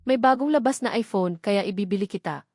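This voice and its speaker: A woman's voice: medium pitch, fairly quick, darkish tone, natural voice. A woman voicing an easygoing, teasing brother-in-law, a grown man, in a story. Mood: joking. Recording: music playing behind the voice.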